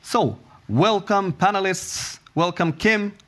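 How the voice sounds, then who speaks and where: A man's voice: high-pitched, lively intonation, slow pace, a bit bright. Man formally opening a panel. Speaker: moderator.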